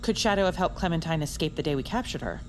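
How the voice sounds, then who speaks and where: A blunt, inquisitive investigator in an audio drama. Her voice is deep for a woman yet moves freely in pitch, with dry, probing phrasing. Music sits behind it. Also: animated, quick pace. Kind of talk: naturalistic audio drama acting.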